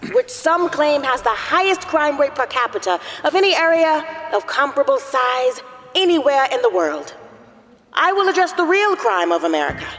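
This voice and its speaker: A woman's voice: high voice, a bit bright. A woman playing a resolute congresswoman delivering an impassioned formal speech in an audio drama. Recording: echoing hall, music behind.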